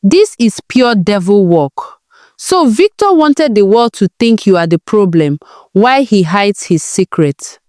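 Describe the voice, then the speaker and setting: A woman performs a protective older mother figure in a storybook tale. She is urgent and indignant, with a very lively, swooping delivery recorded dry. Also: medium pitch, measured pace, dry recording.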